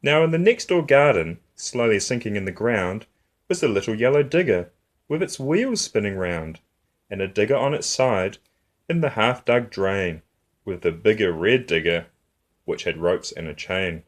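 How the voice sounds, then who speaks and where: A man reading a rhyming picture book aloud for young children in an expressive, rhythmic way, as a storytime host. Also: fairly low pitch, moderate pace.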